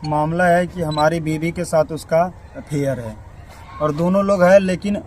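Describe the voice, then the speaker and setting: A man gives an interview in an aggrieved tone. His voice sits somewhat high for a man. There is faint ambient noise around him, as in a location recording. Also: moderate pace, darkish tone. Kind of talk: spoken interview, airing a grievance.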